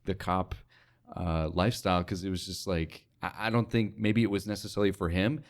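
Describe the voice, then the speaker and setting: A low-pitched American man hosts a relaxed, informal conversation. He talks casually, scattering "like" through his sentences as he works toward his point. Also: a little fast. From mainland United States. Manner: informal, heavy use of "like". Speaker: interview host. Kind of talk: casual chat.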